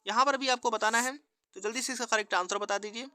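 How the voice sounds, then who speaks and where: A man's voice: extremely high pitch, quick pace, bright, forceful tone, clean tone, dry recording. A man working through exam practice questions as a teacher, with an instructional, formulaic question-and-answer delivery. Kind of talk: scripted quiz walkthrough lesson.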